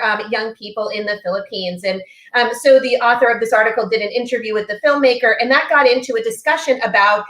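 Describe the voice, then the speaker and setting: A woman hosts a live online panel, her slightly high voice ringing in an echoey room. She asks questions casually, pausing on frequent "um"s. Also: a bit bright. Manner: casual, with filler "um"s. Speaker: host.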